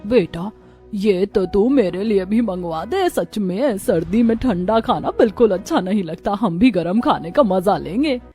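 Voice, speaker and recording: Woman as animated, mellow older neighbour auntie. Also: mid-range voice, unhurried, soft background music, close to an everyday voice. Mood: coaxing, pleased. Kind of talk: cartoon moral story dialogue.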